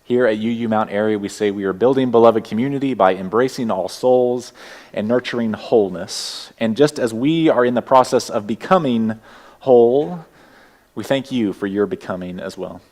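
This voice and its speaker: A man with a Texas accent leading a church service, welcoming people and giving opening words in a gentle, unhurried way. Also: lowish voice. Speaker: worship leader. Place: church sanctuary.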